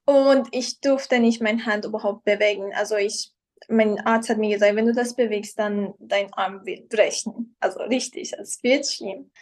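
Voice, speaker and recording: A young woman from Iran, speaking German she learned later, telling her story casually as an interview guest and often pausing to search for words. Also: mid-range voice, steady tone, a little mellow. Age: young adult. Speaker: guest, professional model.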